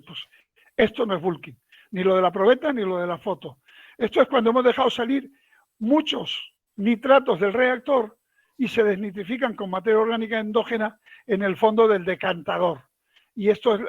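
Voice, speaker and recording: A man gives a relaxed, folksy technical talk over a video call. His voice sits fairly high. The audio is dull and lo-fi, with a dry, close sound. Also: no echo. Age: 70s. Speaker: guest lecturer. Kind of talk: online technical lecture.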